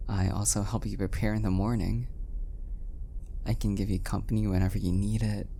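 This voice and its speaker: A man playing an adorkable, oblivious, apologetic husband in an intimate roleplay audio, speaking softly and hesitantly, often half-whispering. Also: fairly low pitch, little pitch movement, fairly quick, a little hiss or hum, natural voice. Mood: shy, affectionate. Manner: soft, hesitant, self-deprecating, often breathy and half-whispered.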